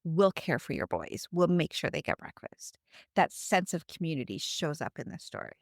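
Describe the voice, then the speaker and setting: A woman from Canada hosting a conversational podcast, phrasing her thoughts in a thoughtful, measured way. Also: low-pitched, very animated, dry recording, clean studio sound. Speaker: podcast host.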